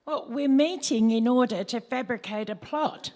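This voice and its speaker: A woman's voice: a little high, normal speed, a little mellow, close to an everyday voice. A woman playing a helpful, chatty attendant soul in Hades, explaining things in a rhymed radio play. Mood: obliging. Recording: reverberant hall recording.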